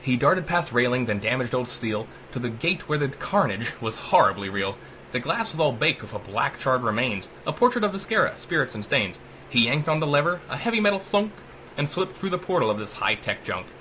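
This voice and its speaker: Animated man narrating dark rhyming verse. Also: medium pitch, fairly quick, a little hiss or hum, poor audio. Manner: theatrical, sing-song verse cadence. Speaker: author reading own story. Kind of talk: dramatic rhymed audiobook narration.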